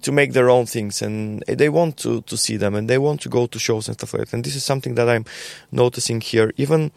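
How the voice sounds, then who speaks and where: A young adult man with a Bulgarian accent, a podcast guest talking casually in a halting way with many fillers and repeated words. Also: mid-range voice, vocal fry, no room sound. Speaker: podcast guest, label co-founder. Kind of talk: casual conversational interview.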